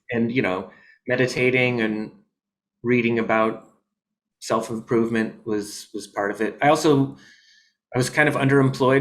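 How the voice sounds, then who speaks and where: A man as a comedian guest in a casual video-call interview, rambling with deadpan jokes and many fillers. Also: mid-range voice, rough recording. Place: his home in New York.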